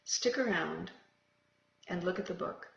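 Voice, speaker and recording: A guide leading a meditation in a gentle, unscripted manner with short, paused phrases and a creaky voice. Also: medium pitch. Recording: roomy, echoing, slightly dull sound.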